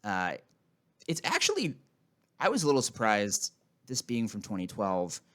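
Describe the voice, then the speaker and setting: A man's voice: fairly low pitch, huge pitch swings, moderate pace, pushed and bright. A man giving casual, mocking commentary on a film in a sarcastic, irreverent way. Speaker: video host and commentator.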